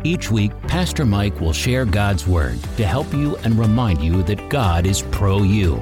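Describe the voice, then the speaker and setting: A man announcing a podcast's intro in a smooth, scripted read. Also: lowish voice, big pitch swings, a little slow. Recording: music bed under voice.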